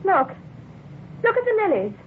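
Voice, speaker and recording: A jealous, fretful wife in a forties radio play. Her high voice swoops emotionally as she pleads. The old broadcast recording is narrow and muffled, with some hiss. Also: sing-song, moderate pace. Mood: anxious, pleading. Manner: plaintive, emotional. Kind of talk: 1940s radio drama acting.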